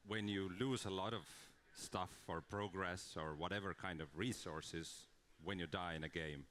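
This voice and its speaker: Brief: man, conference speaker, very low voice, earnest and explanatory. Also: fairly bright tone, a little hiss or hum. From Estonia. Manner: earnest, explanatory, with frequent fillers and restarts. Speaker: conference speaker, game creative director. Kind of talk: conference talk.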